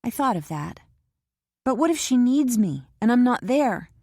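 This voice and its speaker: A woman voicing an anxious, devoted young woman who talks eagerly and protests quickly in an audiobook. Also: fairly high pitch, big pitch swings, dark tone, no echo, studio quality, voice pushed up.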